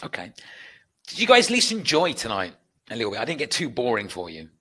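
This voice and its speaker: Upbeat British male webinar host, bright, forceful delivery, chatty Q&A. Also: mid-range voice, wildly swooping pitch, fast, husky. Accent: British English. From United Kingdom. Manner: chatty, upbeat, reads out and answers viewer requests. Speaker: trading educator hosting a webinar. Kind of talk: live webinar Q&A.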